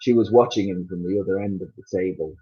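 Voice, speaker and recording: A man reading a children's story aloud as an expressive narrator, on a slightly muffled recording. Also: deep voice, fast talker.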